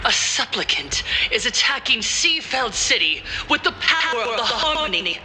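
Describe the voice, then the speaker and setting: An actress playing a formal television broadcaster in a video game, giving a poised announcement over music. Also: female voice, on the high side, big pitch swings, normal speed, bright, forceful tone, a little hiss or hum, exaggerated voice. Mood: solemn.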